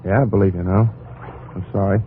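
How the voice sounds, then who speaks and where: A man's voice: deep voice, steady tone, brisk, a little hiss or hum, natural voice. An actor playing a weary, bitter man in an old radio crime drama, speaking in terse, world-weary phrases. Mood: resigned, subdued. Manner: terse, world-weary, hard-boiled delivery. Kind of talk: 1950s radio drama acting. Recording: muffled old radio recording, loud music behind.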